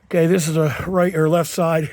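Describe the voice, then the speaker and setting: A man's voice: a little high, level tone, normal speed, noisy background. Man, casual garage how-to talk, rambling with fillers, sniffling from a cold, outdoors. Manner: rambling, unpolished, with many fillers and restarts. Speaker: home mechanic.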